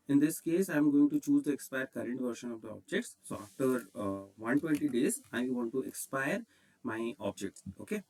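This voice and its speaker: A man with an Indian accent presenting a step-by-step software tutorial in an instructional way, often checking in with "okay". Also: medium pitch, far from the mic, poor audio.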